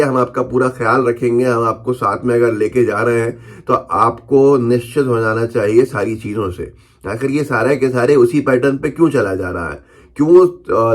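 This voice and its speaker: A man presenting news commentary in an emphatic, questioning way, speaking off the cuff and repeating phrases for stress. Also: mid-range voice. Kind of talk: unscripted political news commentary, reading out newspaper headlines.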